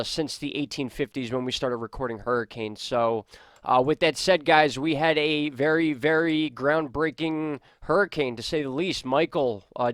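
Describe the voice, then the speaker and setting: A man's voice: a little high, no echo. A man with stuffy, congested sinuses hosting a casual weather and news vlog, talking loosely to viewers with plenty of fillers. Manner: loose, chatty, many fillers, addresses viewers as guys. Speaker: weather and news channel host.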